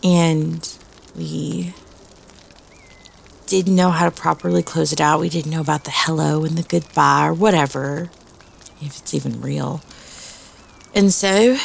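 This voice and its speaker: A woman's voice: low voice, vocal fry, slightly rough, full character voice. A woman playing a teenage girl telling a spooky campfire story in a casual, hesitant, rambling way for a roleplay audio. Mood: eerie recollection.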